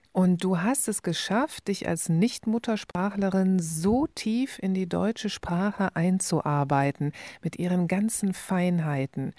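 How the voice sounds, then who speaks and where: A woman's voice: lowish voice, dark tone, no room sound, clean studio sound. A woman interviewer asking engaged, friendly questions.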